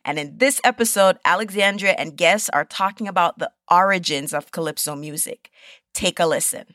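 A woman's voice: lowish voice, normal speed, fairly bright tone, no echo, clean studio sound. A woman hosting a podcast, giving a scripted introduction to an episode. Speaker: podcast host.

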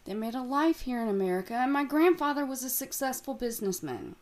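An actress playing a secretive young woman from a cursed family, guarded and hushed. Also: on the high side. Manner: hushed, guarded, then pleading. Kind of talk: audio drama acting.

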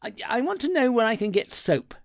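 A man acting out a flustered, indignant gentleman shopper in a comic story, sputtering and insistent. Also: high-pitched, sing-song, measured pace, creaky, dry recording, clean studio sound, pitched above the performer's own voice, exaggerated voice.

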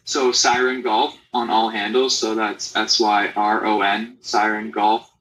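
A young adult man from Canada, a guest in a casual interview, talking in a relaxed way with lots of fillers. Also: fairly low pitch, measured pace, rough recording. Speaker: guest, company founder.

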